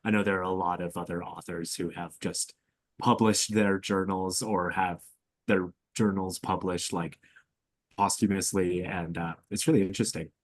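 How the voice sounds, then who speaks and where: Young male, student podcaster; deep voice, casual hesitant chat, dry recording. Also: fairly even intonation, moderate pace, no room sound. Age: young adult.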